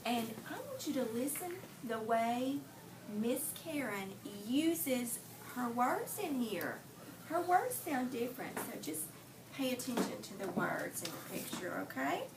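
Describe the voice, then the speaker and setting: A woman with a fairly high voice reads a picture book to young children as their teacher. Her delivery is expressive and deliberate, stopping to question her listeners. She is recorded at a distance from the mic, with clear room noise around her. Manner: expressive storytelling, slow deliberate phrasing, pausing to question listeners. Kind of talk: interactive picture-book read aloud.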